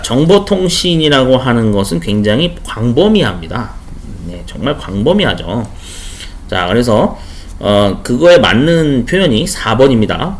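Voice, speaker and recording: A male instructor walks through exam questions in an informal, chatty way. His mid-range voice swings widely in pitch as he stresses and repeats key terms. He explains things with everyday examples and casual asides. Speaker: online instructor for a certification exam.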